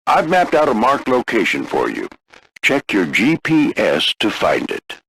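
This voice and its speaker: An older American man voicing a gruff veteran warplane flight instructor in a video game, husky and folksy, coaching firmly but with encouragement. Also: medium pitch, huge pitch swings, measured pace, a bit bright, full character voice, rough recording. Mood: stern instructing. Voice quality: gravelly, husky. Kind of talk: scripted video game character lines.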